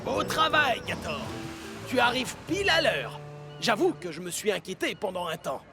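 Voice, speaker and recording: A creaky-voiced male cartoon boss, with lively swings in pitch and music underneath. He sounds authoritative and approving. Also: normal speed. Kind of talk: French-dubbed children's cartoon acting. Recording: music bed behind the voice.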